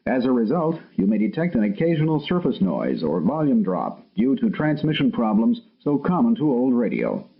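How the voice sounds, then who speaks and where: Measured announcer with a husky voice; tinny, narrow lo-fi recording. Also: male voice, mid-range voice, huge pitch swings. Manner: measured, formal. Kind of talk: formal radio disclaimer. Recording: thin phone-like old radio.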